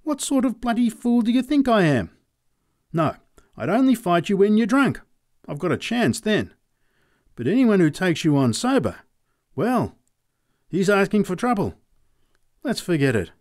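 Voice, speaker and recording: A man voicing a wary dairy farmer who pleads in a high, nervous way. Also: big pitch swings, a little slow, long pauses, a little mellow. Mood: pleading.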